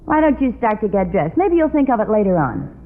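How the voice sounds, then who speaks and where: A woman playing a patient, dryly teasing middle-aged wife in a vintage radio sitcom, calm and gently skeptical. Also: fairly high pitch, brisk. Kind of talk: 1940s-50s radio sitcom acting. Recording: tinny, very noisy old radio recording.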